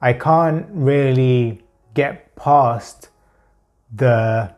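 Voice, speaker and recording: A man who stutters, talking about his experiences with long blocks, halting pauses and repeated words. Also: medium pitch, lo-fi sound. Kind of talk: personal talk to camera.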